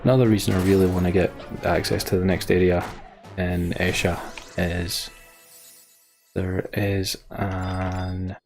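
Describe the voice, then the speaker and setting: Male game streamer, creaky low register, casual rambling commentary, music underneath. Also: flat delivery, a little slow, darkish tone. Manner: rambling, thinking aloud. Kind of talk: casual live gameplay commentary.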